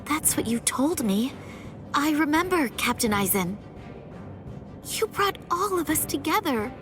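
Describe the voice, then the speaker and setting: A woman's voice: sits high, sing-song, unhurried. A woman voicing an earnest, shaken young female lieutenant in an anime game, emotional in delivery. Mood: shaken realization. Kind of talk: English voice acting for anime game cutscene. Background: loud music.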